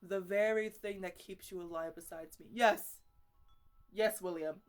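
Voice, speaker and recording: A woman playing a chatty, easily exasperated botanist who mothers her plants in an improvised ASMR roleplay, talking in a halting, casual, playfully scolding ramble. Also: medium pitch, steady tone, soft background music, close to an everyday voice. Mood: mock scolding.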